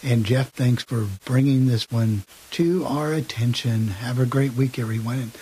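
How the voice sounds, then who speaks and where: Mellow male radio host, faint hiss. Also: mid-range voice, moderate pace, low-quality recording. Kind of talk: informal sign-off.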